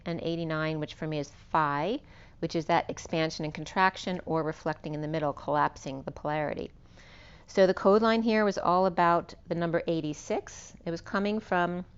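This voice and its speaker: A woman with a hoarse, fading voice giving an unscripted spiritual tarot reading, meandering and correcting herself as she lists cards and numbers. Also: on the low side, highs cut off. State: losing her voice. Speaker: tarot reader.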